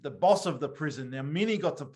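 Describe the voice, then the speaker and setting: A man chatting as an interview host, a little halting and reassuring. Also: fairly high pitch, lively intonation, darkish tone, roomy sound, a little hiss or hum. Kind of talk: casual interview chat.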